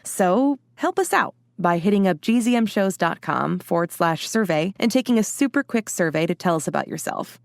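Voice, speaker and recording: A woman's voice: fairly low pitch, expressive, normal speed, creaky, few pauses, no echo. Upbeat woman reading a dry-sounding promo. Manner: upbeat, friendly, conversational. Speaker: ad reader for a podcast network. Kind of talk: scripted promotional read.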